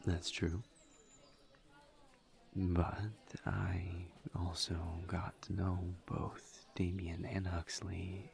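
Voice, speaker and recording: A man playing a shy, polite incubus student in an intimate audio roleplay, speaking softly and haltingly. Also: sits low, vocal fry, exaggerated voice. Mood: careful, earnest. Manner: hesitant, halting, awkward small talk. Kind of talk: audio roleplay acting, intimate one-sided conversation.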